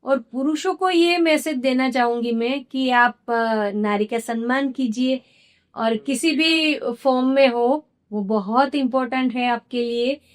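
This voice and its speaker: A woman speaks slowly and earnestly in a podcast studio, her voice slightly hoarse. Her voice sits high, and she pauses with small fillers between thoughts. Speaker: guest, founder of a women's platform. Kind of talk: podcast interview conversation.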